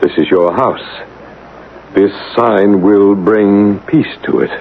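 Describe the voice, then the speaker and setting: An actor playing an earnest, solemn psychic investigator, narrating and acting deliberately in a 1970s radio drama. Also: male voice, fairly low pitch. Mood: calm, assured. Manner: deliberate, dramatic, halting at tense moments. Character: earnest, solemn male psychic investigator telling his ghost story. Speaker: narrator and lead character. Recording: tinny, noisy vintage radio broadcast recording.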